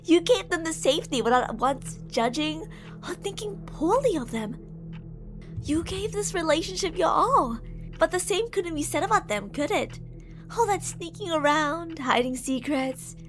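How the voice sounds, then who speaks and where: A woman's voice: very high voice, expressive, fairly bright tone, soft background music. A woman voicing an obsessive, menacing shapeshifting monster who coaxes and taunts intimately in an ASMR horror roleplay. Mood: tender, intense. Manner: intimate, taunting, possessive, with drawn-out phrases. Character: obsessive female shapeshifting monster posing as a lover, menacing, coaxing.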